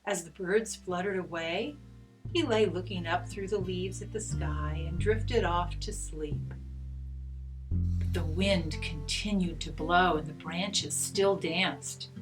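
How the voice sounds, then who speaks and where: A woman reading a children's story aloud, with expressive, gentle and deliberate narration. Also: on the low side, rough recording. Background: guitar music.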